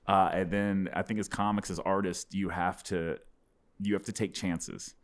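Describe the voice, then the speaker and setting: A man's voice: low-pitched, normal speed. A man in his thirties from Dallas, a stand-up comedian talking reflectively about his life in a home studio. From Dallas, Texas. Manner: reflective storyteller, animated hand gestures. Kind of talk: casual interview chat. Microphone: boom-mounted studio mic with headphones.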